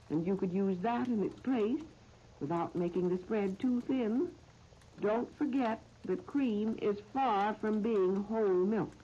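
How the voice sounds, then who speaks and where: An American woman presenting a scripted 1930s radio cooking demonstration in a measured, instructional, carefully phrased manner. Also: mid-range voice, warm tone. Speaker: cooking show host. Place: radio studio. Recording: dull, noisy 1930s radio disc recording.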